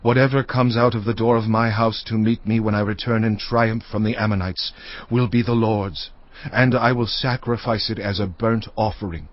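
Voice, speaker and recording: An actor playing a proud, aggrieved Israelite war leader in a dramatized audio Bible, declaiming emphatically. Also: male voice, on the low side, steady tone, moderate pace, dull audio, lightly acted. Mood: solemn vowing.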